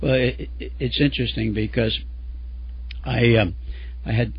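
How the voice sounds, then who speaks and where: Hoarse male radio host with a cold. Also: mid-range voice, fairly even intonation, unhurried. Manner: chatty, rambling with many fillers. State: losing his voice from a cold. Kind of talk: radio interview. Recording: dull lo-fi radio audio.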